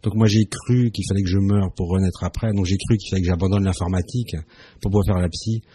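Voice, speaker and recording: A man's voice: low voice, steady tone, quick pace, mellow, a little hiss or hum. A man in his fifties from France, a radio guest who speaks hesitantly and reflectively, with many fillers. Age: 50s. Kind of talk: live radio interview.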